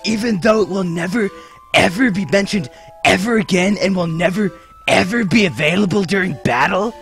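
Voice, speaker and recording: A man's voice: high voice, moderate pace, fairly bright tone, soft background music. A man voicing a glib young adventurer with a sarcastic, theatrical delivery in an abridged cartoon parody. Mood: puzzled, sarcastic.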